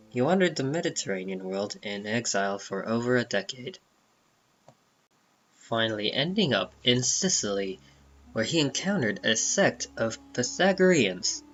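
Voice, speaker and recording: A man's voice: medium pitch, lively intonation, measured pace, a bit bright, lo-fi sound. A man narrating a comic book dramatically, with theatrical, emphatic phrasing. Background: background music.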